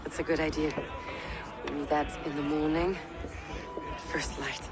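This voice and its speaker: An actress playing a calm, nurturing Viking mother with a gentle, assured manner. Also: deep voice, a little slow. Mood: calm, instructive. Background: loud music and crowd.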